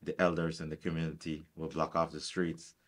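Very deep-voiced middle-aged man. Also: monotone, fairly quick. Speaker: interviewer. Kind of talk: sit-down sports interview. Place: furnished indoor room, seated.

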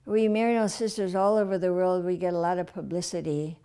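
A woman in her eighties from Milwaukee, a retired nun, speaking hesitantly with many pauses in an interview indoors. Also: fairly low pitch, fairly even intonation, warm tone, clean tone. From Milwaukee, Wisconsin. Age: 80s. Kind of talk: documentary interview. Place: indoor room. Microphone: lapel.

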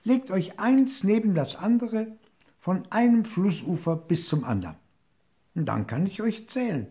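A man voicing a cheeky, playful little deer who teases and tricks, calling out in a high, gleeful voice in a storybook reading. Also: level tone, drawn-out words, mellow. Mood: cheerful. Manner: high, gleeful, teasing calls.

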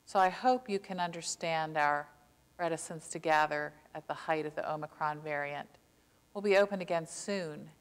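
An older woman preaches an impassioned sermon from a church pulpit, her voice sitting on the low side. She leans hard into key words, slowing down to drive them home. A long sanctuary reverb trails behind her through a clip-on mic. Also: clear, resonant tone. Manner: earnest, impassioned preaching with slow emphatic stresses. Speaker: pastor.

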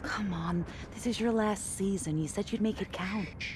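An actress playing a driver's frank, supportive and teasing wife in a video game cutscene. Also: female voice, medium pitch, moderate pace, background noise, natural voice. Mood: frank, concerned.

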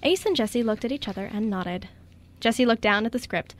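A woman narrates a radio drama from a script. Her voice is on the high side, and she reads as a storyteller between the characters' lines. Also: a little fast.

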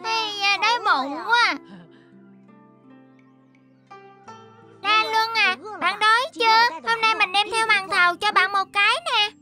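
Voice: extremely high pitch, moderate pace, over music. A performer voicing a carefree little boy in a cartoon dub, eager, cajoling and full of exclamations. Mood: cheerful. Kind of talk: Vietnamese cartoon dub acting.

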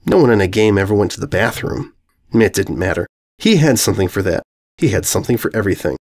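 Animated male story narrator. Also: fairly low pitch, quick pace. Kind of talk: scripted comic story narration.

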